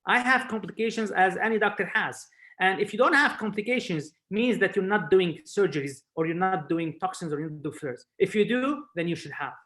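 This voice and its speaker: English-speaking man from Spain, explaining haltingly. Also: sits high, animated, a bit bright. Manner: halting non-native English, repeating words. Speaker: surgeon lecturer, webinar speaker. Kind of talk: informal expert talk on a video webinar. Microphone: video call.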